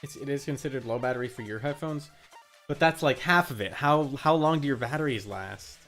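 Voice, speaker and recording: A man's voice: medium pitch, a little fast, a bit bright. A man chatting casually and playfully on a game livestream, with some swearing. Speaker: game streamer. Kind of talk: casual joking livestream chat. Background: video game music.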